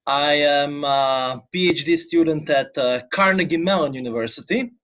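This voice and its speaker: A man's voice: fairly high pitch, moderate pace, a bit bright. Croatian-born man explaining patiently. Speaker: PhD student. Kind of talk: explanatory interview answers.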